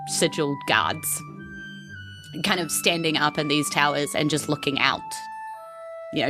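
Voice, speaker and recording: Low-voiced New Zealand woman running a game. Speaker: game master. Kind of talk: improvised tabletop game narration.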